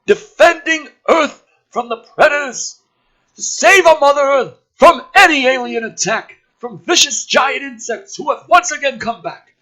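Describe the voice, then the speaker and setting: A man's voice: extremely high pitch, unhurried, sharp tone, voice pushed up, heavily acted, low-quality recording. A man voicing a smug, chatty, foul-mouthed government operative in a mocking script read, with flippant, exaggerated emphasis. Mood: boastful.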